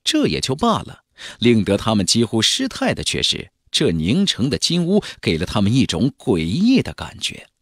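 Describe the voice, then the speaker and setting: A man's voice: medium pitch, wildly swooping pitch, moderate pace, fairly bright tone, husky, no room sound, clean studio sound. A man narrating a fantasy novel as a dramatic audiobook, with a theatrical, emphatic delivery.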